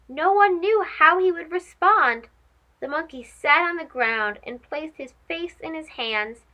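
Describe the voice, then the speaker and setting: A woman narrating a children's story as a read-aloud host, expressive, dramatic and clearly phrased. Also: sits high, rough recording.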